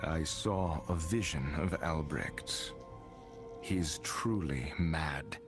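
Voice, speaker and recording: A man playing a grave, weary warrior who gives dire warnings in video game dialogue. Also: deep voice. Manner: slow, grave delivery. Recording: music behind the voice.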